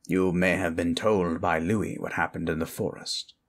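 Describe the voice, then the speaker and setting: A man's voice: sits low, fairly even intonation, moderate pace, natural voice. A man playing a grave, ancient lich who speaks in solemn, formal phrases in a fantasy audio roleplay. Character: grave ancient male lich, stern and scheming mentor.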